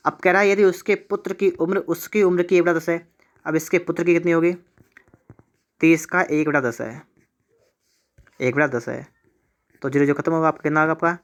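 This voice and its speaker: A man teaches exam math step by step, repeating himself and asking questions that he answers himself. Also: fairly high pitch. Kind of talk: exam tutorial, step-by-step problem solving.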